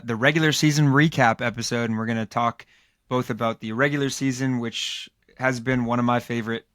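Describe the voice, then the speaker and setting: Hoarse male podcast co-host, rambling and filler-heavy, dry close mic. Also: medium pitch, fairly bright tone, no room sound. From Los Angeles area, United States. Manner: loose, rambling, with many fillers and restarts. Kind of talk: casual sports podcast talk.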